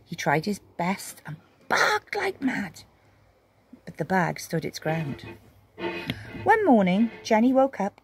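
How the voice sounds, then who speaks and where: A woman reading a children's story expressively, with dramatic pauses and emphasis. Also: mid-range voice, a little hiss or hum.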